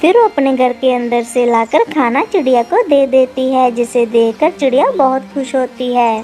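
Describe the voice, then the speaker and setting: High-pitched woman narrating a children's story. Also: normal speed, warm tone.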